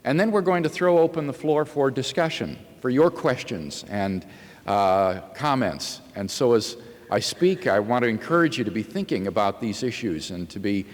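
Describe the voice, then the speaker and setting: A man with a mid-range voice addresses an audience as a public lecturer. He is deliberate and measured, and his words carry a long hall reverb. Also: medium pitch. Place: large indoor hall.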